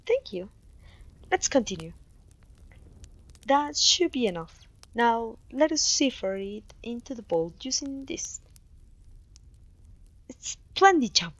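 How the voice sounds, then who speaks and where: High-voiced, gentle clumsy witch played by a woman. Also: big pitch swings, slow pace, long pauses, no echo, exaggerated voice. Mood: gentle, instructive. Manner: gentle, polite, slightly old-fashioned phrasing. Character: clumsy, kindly, apologetic young witch mentoring an apprentice. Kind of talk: ASMR fantasy roleplay voice acting.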